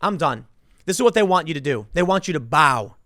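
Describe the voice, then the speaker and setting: A man's voice: fairly high pitch, animated, fairly quick, pushed and bright, no echo, studio quality. A man giving impassioned solo political commentary as a host, emphatic and indignant, repeating phrases for stress.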